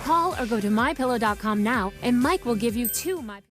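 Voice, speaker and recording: A woman's voice: on the high side, lively intonation, brisk, soft background music. A woman announcing in a TV commercial, in a scripted sales style. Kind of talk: TV commercial call to action.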